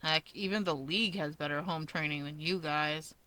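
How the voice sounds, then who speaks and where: A woman's voice: low voice, normal speed, pushed and bright, dry recording, voice pushed down. A woman voicing a sardonic, stubborn young male villain with a dry, grumbling drawl in a story. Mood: put out.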